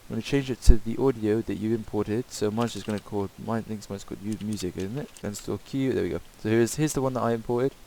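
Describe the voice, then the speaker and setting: A man giving a casual, improvised software tutorial in a hesitant, rambling way. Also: lowish voice, quick pace, hardly any pauses, low-quality recording. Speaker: tutorial presenter. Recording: hissy home microphone recording.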